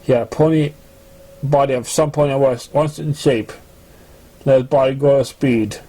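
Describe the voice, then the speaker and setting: A man reading a story aloud in an amateur way, with a halting, stumbling delivery. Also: medium pitch, steady tone, mellow. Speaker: fan fiction reader. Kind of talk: amateur story reading with put-on character voices.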